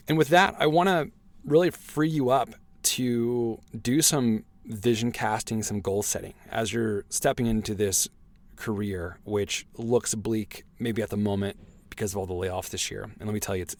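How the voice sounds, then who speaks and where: Hoarse man hosting a motivational podcast. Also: on the low side. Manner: earnest, motivational, with frequent "right?" tags. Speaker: podcast host. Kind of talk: solo podcast monologue.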